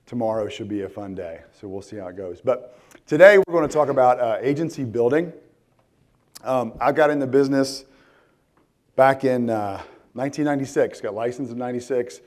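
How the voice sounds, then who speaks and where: A hoarse man in his forties speaks to a room at a conference. His voice is tired and worn out. He talks loosely, with frequent ums, uhs and restarts. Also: medium pitch, normal speed, a little mellow. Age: 40s. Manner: casual, many ums and restarts. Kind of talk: live conference talk. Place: conference hall.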